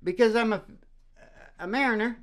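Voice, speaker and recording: A woman's voice: fairly high pitch, moderate pace. A woman hosting and singing on a country music show, with a playful, loose manner and a guitar alongside. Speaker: radio show host and singer.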